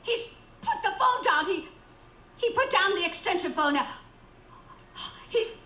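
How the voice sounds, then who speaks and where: A woman's voice: very high voice, animated, measured pace, bright, forceful tone, heavily acted. A woman playing a fretful, demanding invalid who is alone and frightened, repeating herself breathlessly in a radio suspense play. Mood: hysterical terror. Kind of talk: radio suspense drama acting. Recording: roomy echo with faint background noise.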